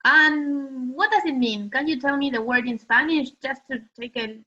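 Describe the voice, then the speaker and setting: A woman co-teacher assisting in a children's lesson, talking conversationally with somewhat halting phrasing. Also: high-pitched, sharp tone. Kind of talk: conversational question and answer. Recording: slightly muffled, lo-fi audio.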